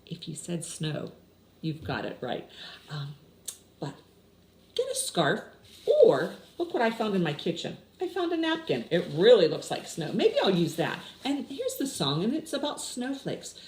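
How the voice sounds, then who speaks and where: A woman's voice: fairly low pitch, very animated, a little slow, darkish tone. A woman in her sixties leading a children's story time, explaining simply and putting questions to young listeners. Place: small indoor room with window blinds. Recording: roomy echo with clear background noise.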